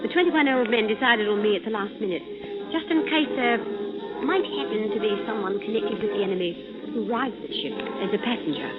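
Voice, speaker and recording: An actress playing a dainty, sassy young undercover agent, coy and self-assured, in a 1940s radio adventure. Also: female voice, medium pitch, fairly quick, natural voice. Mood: businesslike. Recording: tinny old radio recording, music behind.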